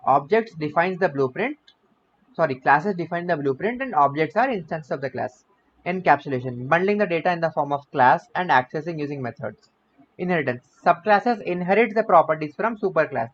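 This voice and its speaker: Young male instructor, slightly high voice, explains and restates points, muffled mic with faint hiss. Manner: explanatory, repeats and rephrases himself. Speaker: coding bootcamp instructor. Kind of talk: online coding lecture. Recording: slightly dull audio with faint noise.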